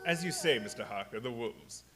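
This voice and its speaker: An actor playing Count Dracula, a sinister, courtly vampire with a formal, theatrical delivery in a radio play. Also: male voice, mid-range voice, expressive, normal speed, lightly acted. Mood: sly, theatrical.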